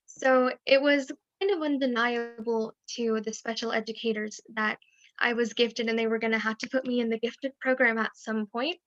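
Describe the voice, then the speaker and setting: A woman with a voice a bit higher than most tells a personal story frankly, now and then breaking into small rueful laughs. She comes through a computer mic on a video call, and the sound is dry and dull. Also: fairly even intonation, moderate pace, a bit bright, dry recording. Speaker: webinar panelist.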